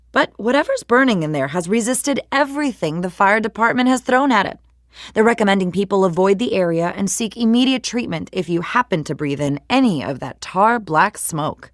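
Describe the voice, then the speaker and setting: An actress playing a polished, brisk woman news reporter, reading scripted bulletins in a measured, slightly wry newsreader delivery for a game. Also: mid-range voice, dry recording, clean studio sound, lightly acted.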